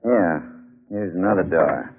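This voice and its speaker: An actor playing a courteous, cautious museum professor in an old radio drama. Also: male voice, low voice, expressive, measured pace, husky, exaggerated voice. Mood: genial, informative. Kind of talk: 1940s radio drama acting. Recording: tinny old radio broadcast.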